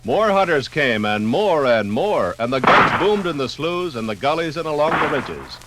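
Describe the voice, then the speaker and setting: A man's voice: fairly high pitch, big pitch swings, moderate pace. A man narrating a scripted 1950s radio documentary in a solemn, rhetorical way. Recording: 1950s radio recording, faint background noise.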